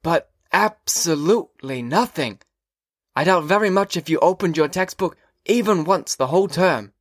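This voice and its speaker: Man playing a sarcastic old teacher, raised slow lecturing voice, dry sound. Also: fairly high pitch, animated, dry recording, pitched above the performer's own voice, natural voice.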